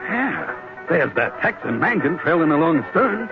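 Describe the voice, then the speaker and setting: Salty first mate, folksy sailor talk, fairly high voice, thin vintage radio recording. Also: male voice, sing-song, moderate pace, over music. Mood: wary. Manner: folksy, informal. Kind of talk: 1940s radio acting. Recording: tinny old radio recording.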